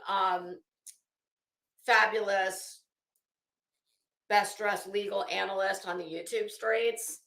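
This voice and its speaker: Bright-voiced American woman chatting in an echoey room. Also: medium pitch. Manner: chatty, emphatic, blunt, swears casually. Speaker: livestream host. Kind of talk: casual livestream chat with viewers. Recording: echoey, roomy sound.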